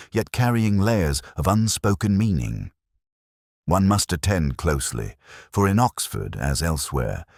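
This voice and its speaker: A man acting as a reflective male police detective telling his own case. The voice sits very deep and moves widely in pitch. His delivery is measured, literary and reserved, in a close, dry sound. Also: no echo, full character voice. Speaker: narrator. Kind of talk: scripted first-person detective narration.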